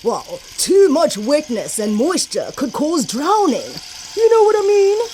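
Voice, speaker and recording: A woman playing a solid, firm earth spirit in a children's folktale audio drama, speaking deliberately with thoughtful hums. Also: sits high, big pitch swings, mellow, a little hiss or hum. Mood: pondering.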